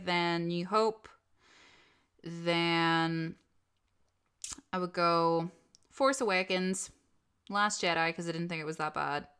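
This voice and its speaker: A woman host answering questions in a casual, opinionated and animated chat, swearing freely. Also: fairly low pitch, clear, resonant tone. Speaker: host of an audio channel.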